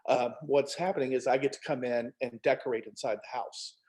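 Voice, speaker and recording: A man's voice: medium pitch, steady tone, a little fast, a little mellow. Man chatting as a co-author. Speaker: author, co-writer of a novel. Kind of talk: casual panel conversation.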